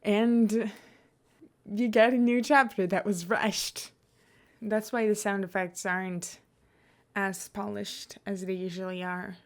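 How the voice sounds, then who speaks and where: A woman's voice: mid-range voice, steady tone, takes it slowly. A young woman chatting casually to listeners as a host, hesitant, often trailing off and correcting herself. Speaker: podfic reader and host. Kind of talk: casual rambling chat to listeners.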